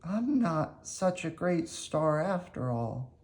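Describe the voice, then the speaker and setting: A man voicing a small, eager wooden puppet boy in a storybook, in a high childlike voice. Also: sing-song, slow pace, heavily acted. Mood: sad.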